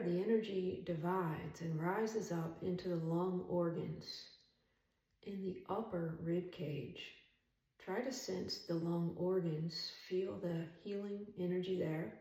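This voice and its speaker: A woman leading a guided meditation as an instructor, speaking calmly and soothingly in measured phrases with long pauses. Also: fairly low pitch. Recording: roomy echo, distant from mic.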